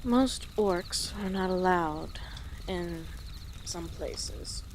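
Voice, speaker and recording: Girl doing a chill friendly orc lady guide, audio roleplay, slow gentle talk, birds and outdoor sounds behind. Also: on the low side, background noise. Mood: relaxed, hopeful. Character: easygoing female orc traveling guide, rugged and friendly. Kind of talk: audio roleplay, speaking to the listener. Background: birds and rural outdoor ambience.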